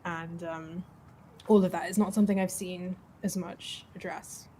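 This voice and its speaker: A woman's voice: on the low side, fairly even intonation, measured pace, mellow, slightly rough. A woman in her twenties chatting casually and thoughtfully during a let's play, with some hesitation. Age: 20s. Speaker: game streamer, PhD student.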